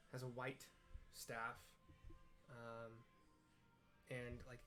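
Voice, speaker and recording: Man narrating flatly over game music. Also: mid-range voice. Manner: rambling, hesitant descriptions, searching for words. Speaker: host narrating a game playthrough with audio descriptions. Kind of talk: casual narration of a video game.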